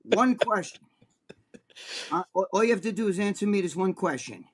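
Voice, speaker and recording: Older New Jersey man, podcast co-host, foul-mouthed animated storyteller with a slightly higher voice. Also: normal speed, breathy edge. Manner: animated, profane, acts out quotes in a high voice. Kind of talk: casual storytelling chat.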